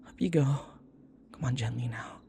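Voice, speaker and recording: A man acting in an intimate audio roleplay as a devoted young human servant, speaking in a soft, halting, tender and pleading way. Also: medium pitch, creaky, noisy background, close to an everyday voice. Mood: worried, urgent.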